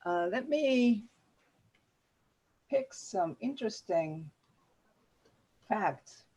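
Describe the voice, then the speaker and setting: A woman presenting a workshop over a video call, halting and using many fillers. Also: medium pitch, lively intonation, mellow, husky. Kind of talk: online meeting presentation.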